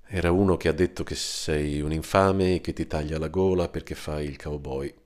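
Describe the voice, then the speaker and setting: A man voicing a calm, cautious brother. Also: very deep voice, normal speed.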